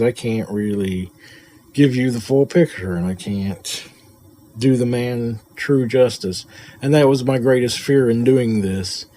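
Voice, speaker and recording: A man giving a personal spoken tribute to a friend, halting and reflective, repeating himself as he goes. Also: mid-range voice, mellow. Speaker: friend and colleague paying tribute. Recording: noticeable background noise.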